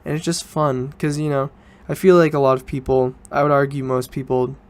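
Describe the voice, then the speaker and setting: A man's voice: medium pitch, little pitch movement, fairly quick, clean tone. A young man, student age, talking casually and informally as a solo podcast host, rambling and correcting himself as he goes. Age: teens to young adult student.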